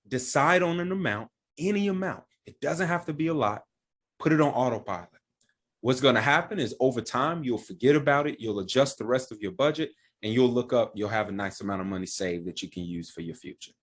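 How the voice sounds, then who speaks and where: A man, a financial advisor and founder of his firm, explaining retirement planning step by step in plain words. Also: medium pitch. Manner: plain-spoken, walks through examples step by step.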